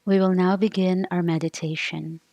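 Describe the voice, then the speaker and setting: A woman meditation leader giving a scripted devotional recitation in solemn, deliberate phrases. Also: fairly low pitch, level tone, mellow.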